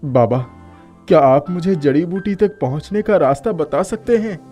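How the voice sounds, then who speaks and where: A man's voice: fairly high pitch, animated, normal speed, mellow, faint music behind, lightly acted. A man voicing a brave young prince in a cartoon fairy tale, polite and earnest. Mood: earnest, determined.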